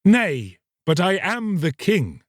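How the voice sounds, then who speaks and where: Man voicing an earnest young king, lively pitch. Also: slow pace, dry recording, clean studio sound, heavily acted. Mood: solemn, firm. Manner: resolute, archaic, formal speech. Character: earnest, solemn young king in humble dress.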